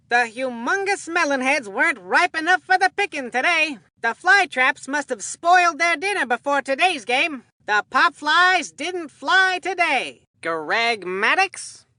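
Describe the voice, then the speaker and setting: Corny, food-mad kid sports commentator for a video game; unhurried, hammy announcer delivery, very dry sound. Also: sits high, pushed and bright, no room sound, exaggerated voice. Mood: announcing names.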